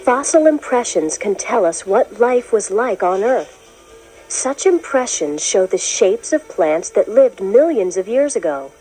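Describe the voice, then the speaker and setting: A woman reading a children's science book as scripted narration, in a clear, expressive storytelling manner. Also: medium pitch, a little slow, faint music behind, poor audio. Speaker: narrator of a children's educational read-along.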